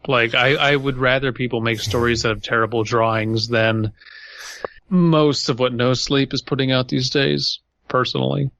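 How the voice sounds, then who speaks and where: A man's voice: medium pitch, steady tone, moderate pace, gravelly, fairly bright tone, dry recording. An American man from California co-hosting a podcast in casual, sweary, ranting chat. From California, USA. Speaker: podcast host.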